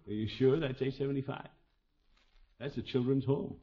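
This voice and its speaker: A man's voice: mid-range voice, normal speed, noisy background, natural voice. An actor playing a hearty, fatherly middle-aged man in a folksy, expansive 1950s radio comedy style. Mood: concerned, practical. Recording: dull vintage radio recording.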